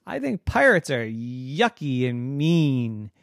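A woman's voice: extremely low pitch, big pitch swings, slow, no room sound, studio quality, heavily acted. A woman voicing a cranky old giant squid in a children's story, grumpy and scolding.

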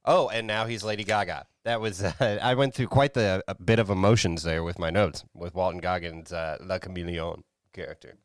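A man's voice: low-pitched, moderate pace, no room sound, studio quality. A man co-hosting a movie podcast, laid-back, going through his notes with a laugh. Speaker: podcast co-host. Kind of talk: casual movie review chat.

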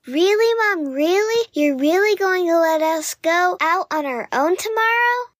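A woman's voice: sits high, lively intonation, unhurried, a bit bright, no room sound, studio quality, voice pushed up. A woman voicing an eager, childlike young animal cub in a children's story. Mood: excited. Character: excitable young wild animal cubs.

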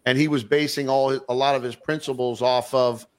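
A man's voice: medium pitch, steady tone, brisk. A man hosting an online talk show, speaking with enthusiasm and rambling through many fillers and restarts. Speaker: podcast host. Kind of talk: casual online show chat.

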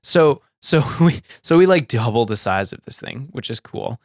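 A man's voice: medium pitch, animated, normal speed, no room sound. Young man telling a rambling story, dry sound. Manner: rambling, laughs often, says like a lot. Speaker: podcast co-host. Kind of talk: casual storytelling chat.

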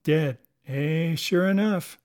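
A man's voice: a little high, slow pace, a little mellow. A man voicing a bandaged, spooked pirate. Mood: uneasy. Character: bandaged, fearful male pirate sailor.

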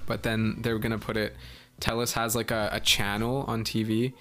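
A young man with a breathy voice, a musician chatting casually as a podcast guest and telling stories with lots of filler words. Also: lowish voice, flat delivery, normal speed, a bit bright, faint music behind. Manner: chatty, filler-heavy storytelling.

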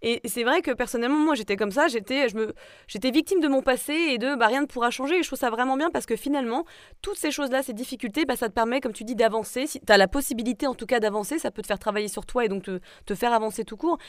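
A woman's voice: a little high, fast talker, no echo, studio quality. A woman hosting a casual conversational interview, speaking in an agreeable and encouraging way. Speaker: interview host.

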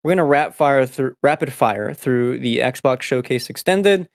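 A man who grew up speaking Spanish first, hosting a casual podcast and rattling off news items in quick succession. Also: medium pitch, no room sound, clean studio sound. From United States. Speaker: podcast host.

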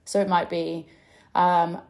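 A woman's voice: lowish voice, flat delivery, slow, a little mellow. A young adult woman, a business coach hosting her own podcast, teaching in a chatty, direct way with mild swearing. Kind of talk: casual teaching podcast monologue.

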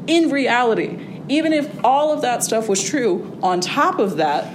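Wry, animated young woman with background rumble. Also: measured pace. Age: young adult. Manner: animated, wry, frequent fillers and restarts. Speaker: guest, classics student. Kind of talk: casual interview conversation. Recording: audible background noise with a low rumble.

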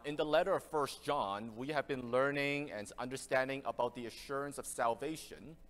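A man's voice: medium pitch, fairly bright tone. A male pastor from Canada, preaching an earnest, conversational expository sermon with frequent restarts. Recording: reverberant church hall.

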